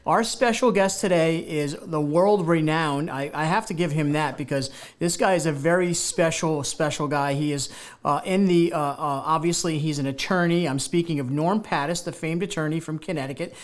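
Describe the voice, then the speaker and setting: A male talk show host with a slightly high voice, speaking in a TV studio. He sounds eager and rambles, circling back and stumbling over his words. He keeps the show moving with excited introductions. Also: normal speed. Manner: enthusiastic, rambling, many fillers and restarts. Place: local TV studio.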